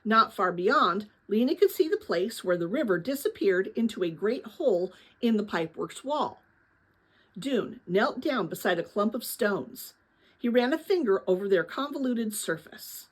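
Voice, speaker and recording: A woman reading a children's novel aloud as dramatic, expressive story narration. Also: mid-range voice, moderate pace. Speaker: audiobook-style reader of a children's novel.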